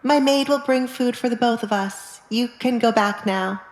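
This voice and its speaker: A woman's voice: fairly high pitch, normal speed, reverberant, pitched above the performer's own voice, natural voice. A woman voicing a defiant, determined young noblewoman, guarded and stubborn, in dramatized audiobook dialogue. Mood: defiant, firm.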